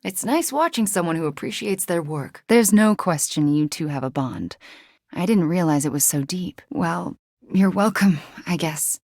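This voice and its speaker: An actress playing a guilt-haunted, wry young sorceress in a video game, speaking in an intimate, earnest way. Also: female voice, on the low side, lively intonation, moderate pace, a little mellow, no echo, clean studio sound. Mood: calm, friendly.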